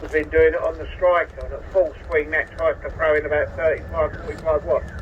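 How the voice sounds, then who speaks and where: A man's voice: a little high, level tone, a little fast. A British man talking casually over CB radio, explaining technical points in an informal, matey way. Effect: CB radio transmission. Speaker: CB radio operator. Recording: dull CB radio audio, faint noise.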